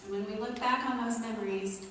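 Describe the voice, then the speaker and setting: A woman reading a prepared memorial tribute as a family member, in measured, listed phrases, recorded in a large indoor space. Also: fairly high pitch, steady tone, fairly bright tone. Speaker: family member giving a eulogy. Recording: echoey hall, distant mic, noisy.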